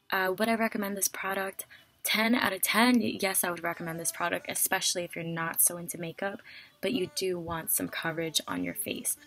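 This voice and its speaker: A young woman in her twenties, slightly hoarse from a cough, chatting casually through a product review into a close webcam in a small bedroom. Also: fairly low pitch, fairly bright tone. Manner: chatty, fillers like "like". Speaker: beauty vlogger. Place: small dim bedroom, indoors. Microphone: webcam at close range.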